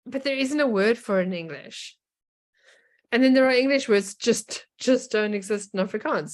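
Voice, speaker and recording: A woman with a South African accent, chatting casually as a co-host in a podcast conversation. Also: fairly high pitch.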